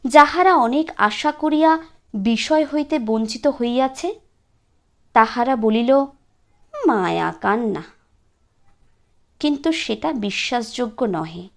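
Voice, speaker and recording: Woman reading an old short story, high voice, really slow and measured, expressive audiobook style. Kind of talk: scripted audiobook narration, literary.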